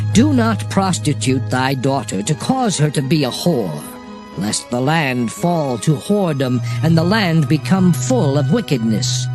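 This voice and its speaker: Casting: male actor as solemn lawgiver for scripture drama, very slow, highly expressive intonation, declamatory. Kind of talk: dramatized King James scripture reading. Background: music.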